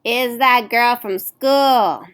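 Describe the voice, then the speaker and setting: A storybook schoolboy bully voiced by a woman reader, obnoxious and mocking. He speaks loudly and stretches out his taunts with a sneering edge. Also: a little high, extremely slow, clear, resonant tone.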